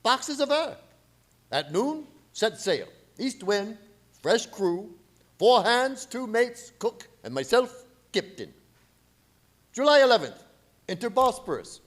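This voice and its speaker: A grave, fearful sea captain acted by a man in a radio drama. His voice is high for a man and rises and falls widely as he gives clipped log entries. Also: sing-song, takes it slowly, pauses often, heavily acted. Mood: brisk, formal. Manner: terse, telegraphic, dramatic delivery.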